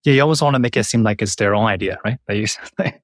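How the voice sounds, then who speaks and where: Very fast-talking, lowish-voiced male interviewer. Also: no echo. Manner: informal, recaps and checks with "right?". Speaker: interviewer, show host. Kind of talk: casual interview chat.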